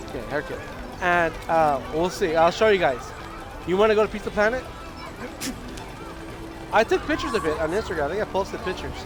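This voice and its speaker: A man with a scratchy voice he says is going, chatting casually and rambling on a livestream. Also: high voice. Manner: rambling, with many restarts. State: hoarse, losing his voice. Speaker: streamer. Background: video game music.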